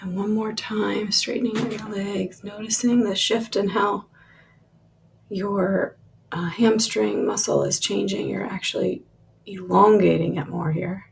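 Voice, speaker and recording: A woman leading a guided relaxation meditation with soft, lingering instructions that trail off into breathy releases, in a husky, creaky voice. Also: medium pitch, steady tone, unhurried, a little hiss or hum.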